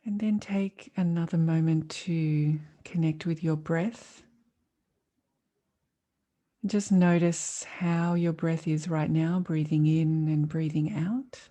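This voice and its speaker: Female meditation teacher, soft mellow tone, unhurried and calm, long pauses between phrases. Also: low voice. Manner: calm, soothing, measured delivery with long pauses. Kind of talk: guided meditation.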